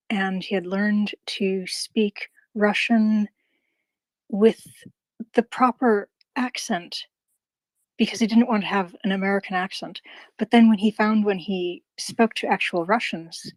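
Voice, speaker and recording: A woman's voice: mid-range voice, no echo, poor audio. A woman in a casual online audio room, thanking the hosts and retelling a talk, full of fillers and restarts. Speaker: listener asking a question.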